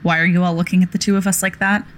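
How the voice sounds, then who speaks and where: A woman's voice: lowish voice, flat delivery, racing, fairly bright tone, a little hiss or hum, lightly acted. A woman playing a protective, wry sister from a wealthy family in a fiction podcast, with an emphatic way of talking.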